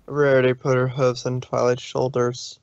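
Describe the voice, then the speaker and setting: A man's voice: mid-range voice, monotone, moderate pace, smooth, dry recording. Man narrating a fanfic, dull dry sound. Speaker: reader.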